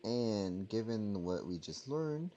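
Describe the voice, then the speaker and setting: A man streaming a video game with casual commentary, musing aloud in a halting way over game music. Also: lowish voice, expressive, mellow, dull audio, a little hiss or hum.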